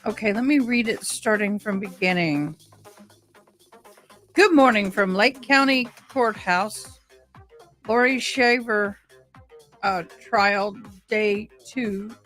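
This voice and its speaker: A woman hosts a livestream in a casual, chatty style. Her voice sits at an average pitch. She rambles a little and speaks haltingly, with electronic music plainly audible behind her. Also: fairly bright tone. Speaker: livestream host.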